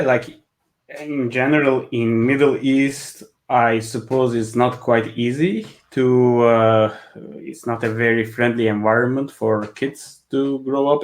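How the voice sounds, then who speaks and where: A man in his thirties, an English speaker from Turkey, talking as a guest on a video call, hesitant and filled with pauses and wry laughs. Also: mid-range voice. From Turkey (Kurdish region, Diyarbakır). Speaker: guest, business consultant and coach. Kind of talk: casual interview on a video call.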